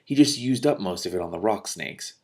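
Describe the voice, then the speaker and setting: A man's voice: on the low side, lively intonation, fairly quick, creaky. A man voicing a young, outspoken princess in a storybook, worried and insistent.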